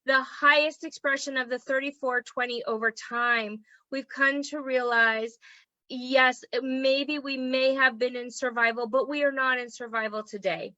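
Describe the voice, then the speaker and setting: Woman teaching a group online, voice kinda worn out, bright and a bit high, dry muffled sound, keeps saying okay?. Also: fairly even intonation, measured pace, no room sound. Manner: chatty and encouraging, frequent "okay?" check-ins. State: losing her voice. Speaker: human design teacher.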